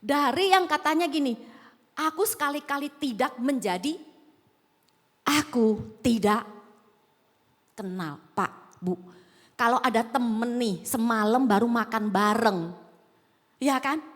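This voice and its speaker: A woman pastor with a slight Javanese accent preaches to a congregation in a large auditorium. Her voice sits fairly high, and she keeps putting questions to her listeners. A long reverb from the hall trails behind her words. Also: takes it slowly, pauses often, a bit bright. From Sumatra, Indonesia. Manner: conversational, asks the congregation questions. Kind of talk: sermon. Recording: echoing hall.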